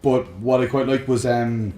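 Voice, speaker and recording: A man of average pitch talks as a co-host in an echoey room, with faint music behind him. He explains his views casually and at length, wandering from point to point with enthusiasm. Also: fairly even intonation, normal speed, mellow. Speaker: co-host of a film and games discussion show. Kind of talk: casual banter and opinionated chat. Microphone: close microphone on a stand.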